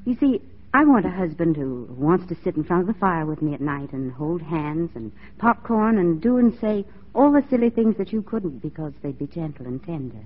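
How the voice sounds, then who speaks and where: A woman's voice: lowish voice, very animated, moderate pace. Spirited, wry young frontier woman. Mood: tender, firm. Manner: teasing, self-possessed. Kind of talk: 1940s radio acting. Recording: dull 1940s radio recording.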